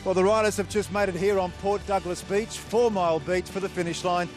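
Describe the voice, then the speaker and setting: A man's voice: high-pitched, fairly even intonation, a little fast. A man giving upbeat, scripted voice-over commentary for a sports documentary. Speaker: race commentator. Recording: music bed under voice.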